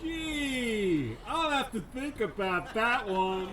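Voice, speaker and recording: Boastful young-hotshot street racer played by a male reader, high-pitched and mocking, story reading over crowd and car noise. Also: big pitch swings, slow pace, exaggerated voice. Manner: sneering, boastful. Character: cocky loudmouth street racer bragging about his car.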